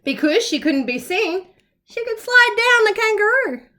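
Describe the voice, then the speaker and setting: Female children's story reader, bright and forward, very lively intonation, expressive delivery for small kids. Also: extremely high pitch, measured pace. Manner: expressive, with playful asides to young listeners. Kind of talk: animated picture-book reading for young children.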